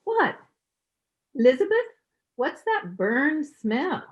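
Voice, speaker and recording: A woman's voice: sits high, very animated, slow, exaggerated voice. A woman playing a nosy, haughty older mother-in-law in an old-time radio sitcom, with a fussy, sing-song, condescending manner. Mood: huffy, disapproving. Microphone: home microphone over video call. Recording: dull, lo-fi internet call recording.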